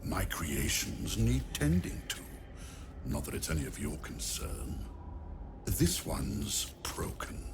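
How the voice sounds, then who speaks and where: An actor playing a haughty, sinister necromancer in a video game, with pompous, theatrical, drawling delivery. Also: male voice, sits low, gravelly, noisy background, exaggerated voice. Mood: cold, commanding. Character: sinister, haughty male necromancer, vain about his grisly creations. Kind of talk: dramatic video game acting.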